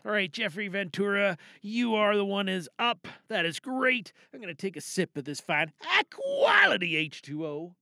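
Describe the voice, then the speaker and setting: A man's voice: high voice, sing-song, normal speed, creaky, few pauses, bright, forceful tone, no room sound, studio quality. A man hosting a podcast in a casual, chatty style with theatrical announcing and self-deprecating asides. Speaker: podcast host. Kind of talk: casual chatty show introduction. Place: home recording booth.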